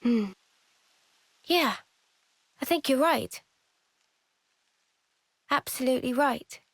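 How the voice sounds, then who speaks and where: A woman playing an earnest, sensitive young soldier in a fantasy game drama, speaking softly and hesitantly. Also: sits high, animated, takes it slowly, no room sound, exaggerated voice. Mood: reflective. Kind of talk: dramatic game cutscene acting.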